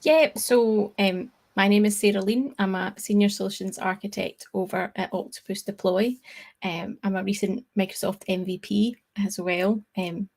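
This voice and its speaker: A woman's voice: mid-range voice, steady tone, normal speed, vocal fry, a little mellow. A woman with a Scottish accent, a guest speaker presenting a tech talk to an online meetup in a friendly, chatty way with frequent ums. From Scotland.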